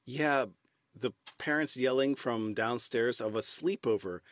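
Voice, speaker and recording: A man's voice: mid-range voice, measured pace, fairly bright tone, no room sound. A man hosting a casual podcast chat, teasing his guest with jokes. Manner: joking, teasing. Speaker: podcast host.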